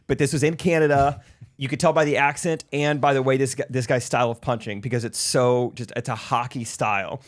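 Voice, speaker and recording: A man's voice: mid-range voice, steady tone, fairly quick. A man co-hosting a podcast, joking around and steering the casual chat. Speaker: podcast co-host.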